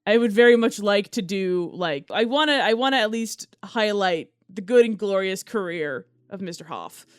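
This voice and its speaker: A woman's voice: medium pitch, animated, moderate pace, no echo, studio quality. A woman co-hosting a comedy podcast, talking through ideas in a casual, conversational way. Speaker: podcast co-host.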